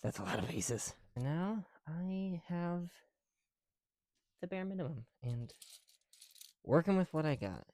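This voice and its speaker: A man records a casual ASMR chat in a dry, close space. He rambles with swearing and teasing, slipping between hushed murmurs and fuller speech. His breath is audible through a mask. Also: a little high, very animated, a little slow, pauses often, no echo. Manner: drifts between soft whispering and louder talk. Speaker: ASMR creator. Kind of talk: casual first-person ASMR build chat. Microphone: close mic, speaking through a mask.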